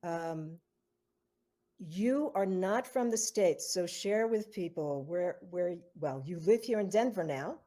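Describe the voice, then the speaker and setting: A woman's voice: on the low side, expressive, takes it slowly, dark tone. A woman hosting an online interview, affirming her guest with frequent fillers and repeated words. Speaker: host of foundation interview.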